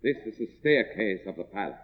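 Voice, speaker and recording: An actor playing a devoted servant who directs like a film-maker, commanding and solemn, in 1950s radio drama style. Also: male voice, fairly low pitch, animated, fairly quick, lightly acted. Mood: solemn, coaxing. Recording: dull old radio broadcast, crowd behind. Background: crowd.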